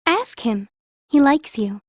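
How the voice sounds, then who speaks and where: A woman's voice: high voice, big pitch swings, takes it slowly, darkish tone, no echo, studio quality, exaggerated voice. An actress playing a kind, polite young noble girl mage in an audiobook. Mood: encouraging, teasing.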